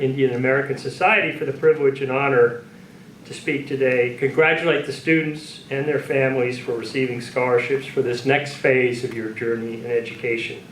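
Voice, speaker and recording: A man giving a keynote speech at a ceremony, telling stories to an audience in a measured, anecdotal way. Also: mid-range voice. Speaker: keynote speaker at an awards ceremony. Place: large room at a public ceremony. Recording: echoey hall, distant mic, faint background noise.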